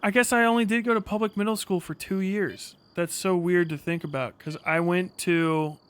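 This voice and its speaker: A man's voice: sits high, steady tone, normal speed, fairly bright tone, smooth. A man in his thirties hosting a casual livestream, rambling and swearing freely as he comments. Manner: profane, rambling. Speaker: livestream host.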